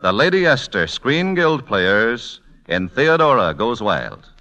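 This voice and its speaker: A man's voice: medium pitch, big pitch swings, slow, sharp tone, muffled recording, soft background music. A male announcer introducing a radio play in formal, dramatic 1940s showman style.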